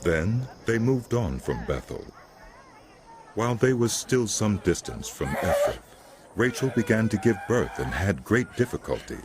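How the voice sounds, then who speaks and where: A man narrating a scripted dramatic Bible reading in a solemn, measured storytelling manner. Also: lowish voice, sing-song, noisy background. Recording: loud music under the voice. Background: music.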